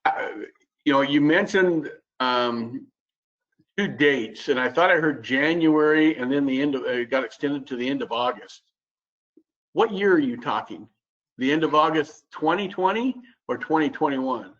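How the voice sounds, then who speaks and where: A man with a mid-pitched voice speaks up as a participant in an online webinar. He is plain-spoken and concerned, pausing and restarting as he asks. The sound is somewhat dull and lo-fi. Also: fairly bright tone. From Washington State, United States. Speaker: webinar participant asking a question. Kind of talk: informal spoken question. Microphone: web conference call. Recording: muffled, lo-fi web call audio.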